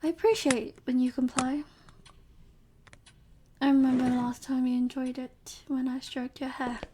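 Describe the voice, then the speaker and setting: A woman playing a seductive, possessive vampire professor in an intimate ASMR audio roleplay, breathy and often whispering, teasing and softly commanding. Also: high voice, flat delivery, normal speed, slight background noise, natural voice. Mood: gloating, coddling.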